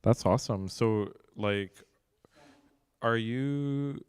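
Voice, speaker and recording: A man interviewing an artist in a relaxed way, asking curious questions with lots of filler words. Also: medium pitch, very slow, smooth, no room sound. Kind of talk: casual interview talk.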